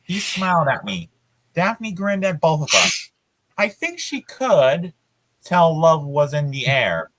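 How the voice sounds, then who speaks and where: Animated storybook reader with lively pitch. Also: slow, noisy background. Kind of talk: storybook read-aloud with casual asides.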